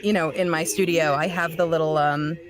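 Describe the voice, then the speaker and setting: A woman's voice: low voice, steady tone, fairly quick, a crowd in the background. A woman voice actor and director talking casually in an interview, chatty and a little self-deprecating.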